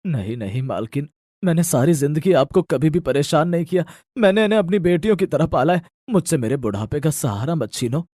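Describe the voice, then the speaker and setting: A man's voice: a little high, expressive, fairly quick, dark tone, dry recording, studio quality. Man acting a humble, pleading old farmer. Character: humble, ageing poor farmer, devoted adoptive father.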